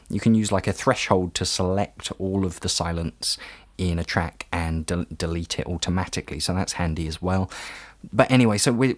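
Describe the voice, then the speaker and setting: Low-voiced man giving an audio tutorial. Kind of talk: tutorial narration, casual.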